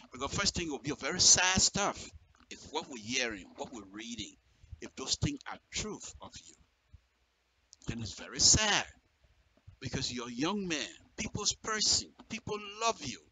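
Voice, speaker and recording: A man with a Liberian accent speaks as a radio commentator, addressing his subject directly and with passion. His voice is very bright and forceful, swinging widely in pitch as he repeats phrases for emphasis. Clear noise and clicks sit in the recording. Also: big pitch swings, moderate pace, long pauses, low-quality recording.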